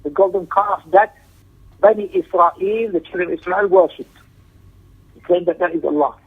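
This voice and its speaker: A man with a fairly high, gravelly voice delivers an Islamic lecture. He is emphatic and repeats key phrases as he explains a text. The sound is thin and tinny, as if coming down a phone line. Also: normal speed. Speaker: religious teacher giving a lesson.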